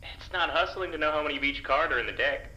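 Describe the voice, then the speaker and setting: A woman's voice: low voice, fast, sharp tone. A woman playing a conflicted, anxious guard in an audio drama, hesitant and prone to stammering. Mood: dry, playful. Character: conflicted, anxious, soft-hearted guard Vic. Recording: roomy sound with faint noise.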